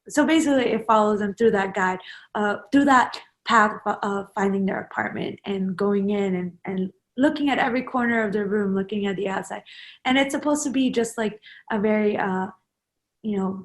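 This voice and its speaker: Woman in her 20s, interview guest, loose rambling delivery with lots of ums and restarts. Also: medium pitch. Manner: rambling, many fillers and restarts. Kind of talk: casual interview chat.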